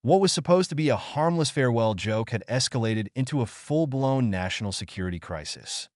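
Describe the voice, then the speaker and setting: A man's voice: medium pitch, lively intonation, brisk, a little mellow, dry recording, studio quality. A man reading a scripted story in a dramatic, suspenseful narrator's style.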